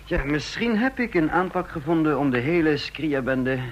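An actor in a Dutch radio play voicing a methodical, brisk counterintelligence chief who explains deliberately, with small hesitations. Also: male voice, a little high, animated, slight background noise, natural voice. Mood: earnest, deliberate. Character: brisk, methodical male head of a counterintelligence service. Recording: muffled, dull radio play recording.

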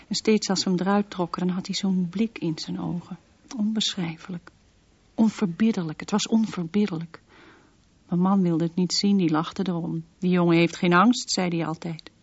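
A woman's voice: lowish voice, slightly rough. An actress playing a haunted mother confiding memories of her dangerous son in a radio drama monologue. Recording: muffled radio play recording, slight noise.

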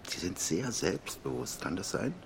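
An actor playing a middle-aged conservative politician in a radio drama, reserved and courteous, speaking in formal, hesitant phrases. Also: male voice, low voice, very animated, moderate pace, creaky, a little hiss or hum, full character voice. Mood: courteous, probing. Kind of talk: naturalistic radio drama dialogue.

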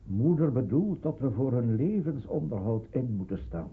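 Very husky weary father, old radio. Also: medium pitch, big pitch swings, normal speed, exaggerated voice. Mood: patient, resigned. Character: weary, patient, realistic father of a refugee family. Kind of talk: radio drama acting. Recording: thin, phone-like old radio recording.

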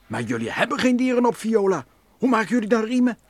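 An actor playing a sceptical, testy earthling adventurer in a children's radio play. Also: male voice, high voice, sing-song, fast talker, husky, dry recording, heavily acted. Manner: doubtful, testy.

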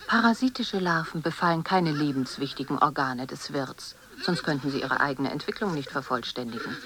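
A woman narrating a scripted literary text in a deliberate, dramatic, often breathy delivery. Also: low voice, a bit bright, slight background noise.

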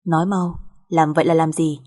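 A woman's voice: deep voice, little pitch movement, moderate pace, a little mellow. A woman voicing a cool, impatient husband who speaks bluntly and tersely in an audio drama. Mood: cold, annoyed. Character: cool, brusque, impatient husband, a grown man.

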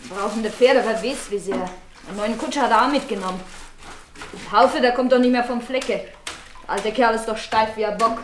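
Actress as a sharp-tongued Silesian maidservant, highish voice. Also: female voice, moderate pace, slight background noise, natural voice. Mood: dry. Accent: Silesian German. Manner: earthy, snappish, curt. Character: sharp-tongued, brusque young rural maidservant. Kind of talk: classic German radio play acting.

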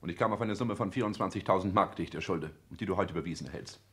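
An actor playing a cold, ambitious social climber in a 1960s German radio play, speaking in a clipped, pompous, formal and declamatory way. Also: male voice, low voice, very fast, fairly bright tone. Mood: cold, calculating.

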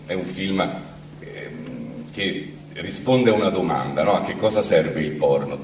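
A man speaking as a film critic, giving an informal, wry and halting introduction to an audience. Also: deep voice, expressive, vocal fry. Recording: echoey room, distant mic, audience noise.

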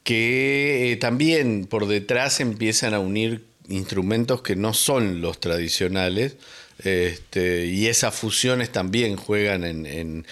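A man's voice: lowish voice, takes it slowly, a bit bright. A man co-hosting a radio music show, chatting casually and thinking aloud with many fillers. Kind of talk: casual on-air chat about music.

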